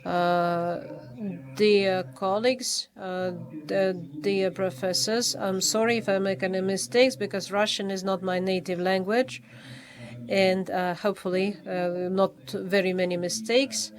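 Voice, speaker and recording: Halting man giving a review. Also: high-pitched, fairly even intonation. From Poland. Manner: halting, many hesitations and restarts. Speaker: official reviewer at a thesis defense. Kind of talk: formal academic review in English.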